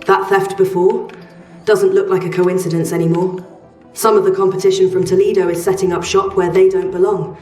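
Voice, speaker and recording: Game casting: actress as guarded woman trader, lowish voice, measured and terse, slightly distant in a room. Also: little pitch movement, a little mellow, close to an everyday voice. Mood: guarded, stern. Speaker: quest-giving trader. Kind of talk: video game voice acting. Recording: roomy, slightly distant, music behind.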